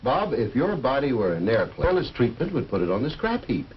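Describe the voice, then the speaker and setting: A man's voice: medium pitch, big pitch swings, a little fast, natural voice. A man playing an earnest, fatherly coach and biology teacher who lectures in 1950s educational film style. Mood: earnest lecturing. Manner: didactic, earnest, explanatory. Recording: muffled, noisy old educational film soundtrack.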